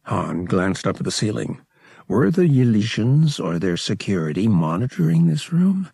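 A man's voice: lowish voice, sing-song, normal speed, vocal fry, warm tone, breathy edge, no echo, clean studio sound. A man reading a story as an audiobook narrator, in a scripted dramatic style.

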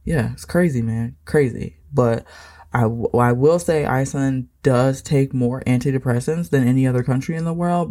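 A woman's voice: a bass voice, mellow. An American woman hosting a casual solo podcast, talking in an informal, rambling, conversational way. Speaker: podcast host.

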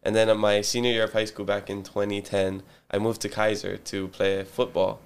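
A man's voice: fairly low pitch, little pitch movement, moderate pace, vocal fry, smooth. A man in his thirties from Hawaii, a native Hawaiian speaker, chatting easily as a podcast guest and laughing often. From Hilo, Hawaii. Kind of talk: casual interview chat.

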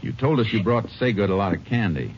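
An actor playing a calm, shrewd, measured Texas Ranger in a 1950s radio western. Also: male voice, lowish voice, a little hiss or hum. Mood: gentle, firm. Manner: measured, businesslike. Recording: muffled old radio recording.

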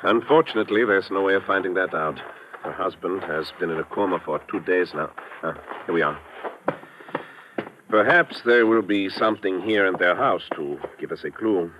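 An actor playing an earnest, methodical German police chief in an old radio drama, formal and deliberate, with a German accent. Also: male voice, low-pitched, highs cut off, close to an everyday voice. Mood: troubled, deliberate. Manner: formal, deliberate, measured phrasing. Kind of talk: 1950s radio drama acting. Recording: old radio recording, faint noise.

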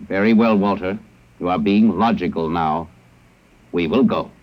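An actor playing a logical, emotionless alien invader who speaks in stilted, formal phrases in a classic radio drama. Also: male voice, sits low, a little slow. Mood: coolly satisfied. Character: cold, logical alien invader, an immortal council messenger. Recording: muffled vintage radio recording.

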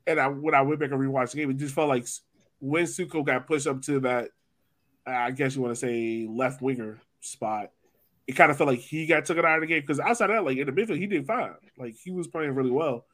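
A man hosting a sports podcast, talking soccer casually in an animated, informal way with jokey asides. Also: mid-range voice, fairly quick. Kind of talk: casual soccer chat and analysis.

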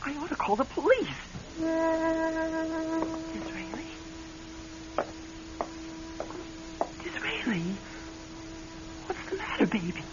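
An actress playing a skeptical, high-strung married woman in an old radio drama, halting and emphatic when upset. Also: wildly swooping pitch, over loud music, exaggerated voice. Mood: distressed. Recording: muffled, noisy vintage radio recording.

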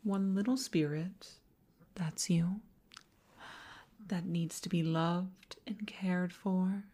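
A woman playing an obsessive older female boss in an intimate audio roleplay, coaxing with condescending sweetness and slipping into breathy half-whispers. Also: fairly low pitch, steady tone, slow, warm tone. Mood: possessive, obsessive.

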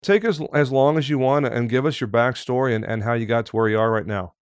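A man's voice: medium pitch, fast talker, smooth, no room sound, clean studio sound. A man hosting a podcast, talking in an upbeat, enthusiastic way and joking with his guests. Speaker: podcast host.

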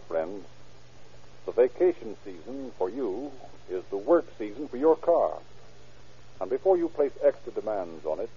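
A man with an American accent reads as a vintage radio narrator and announcer. His voice is very dark and rough-edged, at a medium pitch. The old recording is muffled. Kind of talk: scripted 1930s radio announcing.

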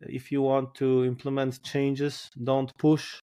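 A man with a Polish accent talks in a flat, even tone, with little rise and fall. He searches for his words, doubling back on phrases as he works out what to say. He comes across as a steady, reflective guest. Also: mid-range voice, slow pace, clear, resonant tone. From Poland. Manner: thoughtful, repeats words while searching for phrasing. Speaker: guest, firefighter colonel. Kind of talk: casual podcast interview.

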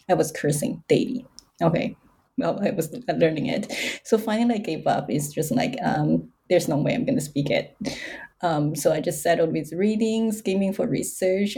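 A woman's voice: lowish voice, vocal fry, warm tone, husky. A woman interviewer whose first language is Mandarin Chinese, asking questions in a chatty, filler-heavy way.

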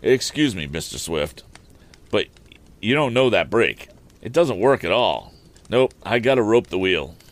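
Guy putting on a folksy older handyman, rambly and polite, deep voice jumping around. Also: big pitch swings, measured pace. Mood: earnest. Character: rustic older male mule-driving handyman.

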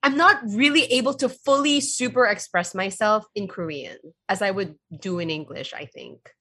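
Bright, husky woman chatting casually. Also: medium pitch, moderate pace. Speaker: returning show guest. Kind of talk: casual chat.

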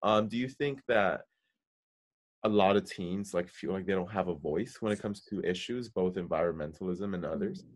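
A man's voice: low-pitched, normal speed. A young American man hosting a podcast, chatting casually and feeling his way through questions and thoughts. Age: young adult. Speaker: podcast host. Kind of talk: casual interview chat.

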